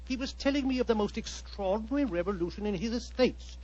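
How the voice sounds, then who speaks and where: An actor playing an urbane, callous upper-class gentleman with a British accent and a blustery, chatty manner, in 1940s radio style. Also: high voice, fast, natural voice. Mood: blithe. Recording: dull 1940s radio recording.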